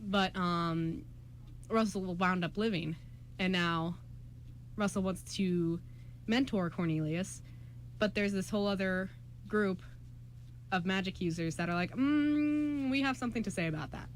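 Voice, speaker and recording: A woman's voice: medium pitch, a little slow, long pauses. A young adult woman hosting a radio drama show, chatting casually and explaining in loose, informal asides. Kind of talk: casual chat. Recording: noisy room recording.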